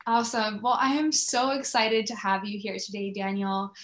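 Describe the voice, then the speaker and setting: A woman's voice: mid-range voice, flat delivery, moderate pace, a bit bright. A woman hosting a podcast interview in a conversational style. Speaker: podcast host interviewing a guest. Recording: roomy, echoing sound.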